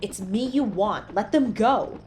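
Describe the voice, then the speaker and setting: A woman's voice: medium pitch, animated, a little slow, a little hiss or hum, natural voice. A woman playing a selfless, protective superhero in an intimate audio roleplay, speaking in an earnest, urgent way. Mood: defiant. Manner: hushed, earnest, reassuring.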